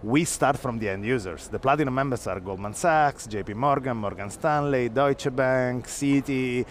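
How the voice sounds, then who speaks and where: A man in his thirties with an Italian accent, a guest talking fast and eagerly in an interview on a convention floor, on a lapel mic. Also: medium pitch, a little hiss or hum. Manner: fast, energetic, gesturing, restarts. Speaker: guest, foundation executive. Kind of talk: conference interview. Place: busy convention hall floor.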